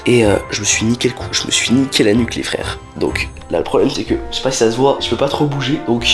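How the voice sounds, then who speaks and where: A man's voice: mid-range voice, animated, fast talker, faint music behind. A teenage man, vlogging casually to viewers in slang, with a stiff neck and a slight cold. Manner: slangy, talks straight to viewers. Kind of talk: casual vlog to camera.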